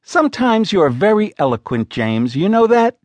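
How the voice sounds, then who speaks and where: Exasperated sharp-tongued woman partner, done by a man, raised pitch, sarcastic and scolding, swooping emphasis, dry studio sound. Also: huge pitch swings, normal speed, no room sound.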